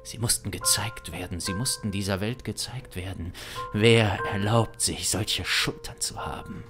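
A young man improvising dramatic audio drama narration in a brooding, deliberate, suspenseful manner, close on a studio microphone. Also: fairly low pitch. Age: young adult. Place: small home studio, indoors. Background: instrumental music.

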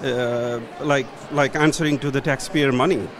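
A man's voice: medium pitch, fairly even intonation, moderate pace, a crowd in the background. A middle-aged man from Nepal with a Nepali accent, speaking earnestly and searching for words as a conference guest. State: a little tired from travel. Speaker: conference guest, policy professional. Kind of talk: conference interview. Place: conference hall booth, indoors. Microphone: handheld mic held by interviewer.

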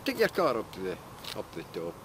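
An older man with a Scottish accent, a local farmer chatting casually outdoors, plain-spoken and trailing off quietly. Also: on the low side, wildly swooping pitch, quick pace. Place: outdoors on a farm road at dusk. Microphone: handheld camera mic. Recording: outdoor camcorder audio with background noise.

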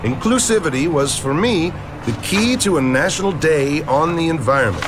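A man's voice: on the high side, animated, normal speed, background noise, lightly acted. A man playing an earnest, determined middle-aged senator in a declamatory, emphatic, scripted comic-book dramatization. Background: music.

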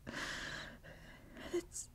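A woman playing a tearful, insecure girlfriend in an ASMR roleplay, crying and speaking in halting, breathy, self-deprecating phrases. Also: darkish tone. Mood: apologetic, tearful. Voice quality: breathy, whispery. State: crying, sniffly. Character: tearful, insecure young girlfriend seeking comfort.

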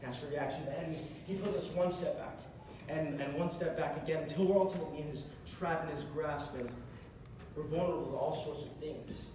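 A man's voice: a little high, steady tone, brisk. Young man preaching in an echoey hall. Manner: earnest, repeats phrases for emphasis. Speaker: short sermon speaker. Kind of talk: sermon. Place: large carpeted hall, on a stage at a music stand. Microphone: far camera across the room. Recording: echoey hall from far off, with noise.

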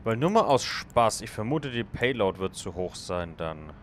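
A man's voice: fairly low pitch, very animated, normal speed, slight background noise. A man casually commenting on his game as he plays, thinking aloud and chatting with viewers. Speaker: let's play gamer.

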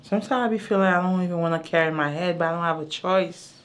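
A woman's voice: on the low side, quick pace, a little hiss or hum. A woman chatting casually and colloquially as a patient, often exclaiming in amazement. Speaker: chiropractic patient. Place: indoor treatment room.

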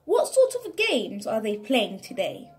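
A woman reads a children's storybook aloud in a fairly high voice that moves freely up and down. She takes her time over each phrase, with an animated, sing-song delivery made for young listeners. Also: measured pace. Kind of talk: expressive read-aloud of a children's picture book.

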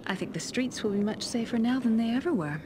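A woman's voice: fairly high pitch, expressive, quick pace, background noise, natural voice. An actress playing a welcoming townswoman in a video game. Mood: pleased.

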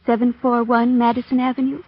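A woman's voice: fairly high pitch, level tone, fairly quick, lightly acted. A woman playing a poised, wealthy young society lady in a 1940s radio drama, polite and composed. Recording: dull vintage 1940s radio recording.

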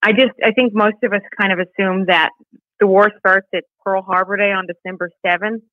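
A woman's voice: medium pitch, fairly even intonation, brisk, no echo. Female podcast guest, conversational storyteller, dry but muffled call-quality audio. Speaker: podcast guest, nonprofit director. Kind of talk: conversational interview, reading a family letter. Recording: muffled, band-limited remote call audio.

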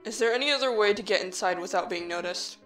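A woman playing an earnest, cautious human child in a fan audio drama, measured, serious and matter-of-fact. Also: mid-range voice, fairly bright tone, soft background music, close to an everyday voice. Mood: questioning.

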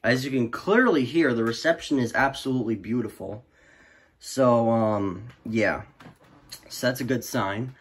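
A man's voice: medium pitch, unhurried. A young male student giving a casual, rambling hobbyist review that often trails off, recorded indoors on a handheld phone. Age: teenager or young adult student. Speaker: weather radio hobbyist reviewer. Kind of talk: casual off-the-cuff product review. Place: small room indoors. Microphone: handheld phone camera.

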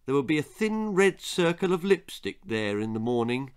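A man in his sixties reading a children's story aloud in a theatrical, suspenseful, deliberate narration. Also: fairly high pitch, sing-song, clean tone. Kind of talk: dramatic storytelling, scripted narration. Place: small book-lined room indoors.

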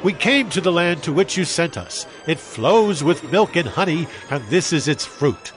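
An actor playing a confident, zealous Israelite scout with declamatory delivery in an audio Bible drama. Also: male voice, a little high, lively intonation, moderate pace, close to an everyday voice. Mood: proud. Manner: declamatory, exhorting.

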